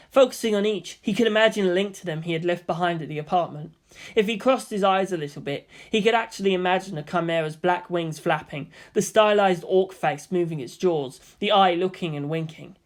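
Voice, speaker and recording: A woman reading scripted story narration as the narrator. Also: lowish voice.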